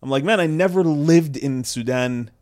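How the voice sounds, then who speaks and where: A man's voice: a little high, moderate pace, darkish tone. Casual young man telling a story. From Canada. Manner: laughs often, quotes people in anecdotes. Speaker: podcast co-host.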